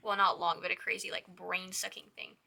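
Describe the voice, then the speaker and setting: A young woman with a hoarse, raspy voice hosts a casual crochet tutorial. She chats with a lot of "like" and counts her stitches in a soft whisper. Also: on the low side, little pitch movement, a little fast. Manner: chatty, with lots of "like".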